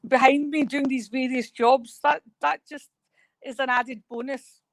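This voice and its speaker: High-voiced woman, halting and rambling. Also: steady tone, no room sound. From Scotland. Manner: rambling, hesitant with many "eh" fillers. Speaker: guest. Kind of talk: remote radio interview.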